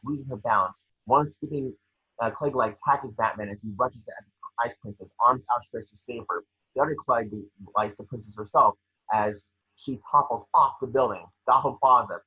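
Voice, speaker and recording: Bright, husky man narrating a script. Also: medium pitch, dull audio. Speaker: scene description reader. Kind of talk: scripted narration at a table read.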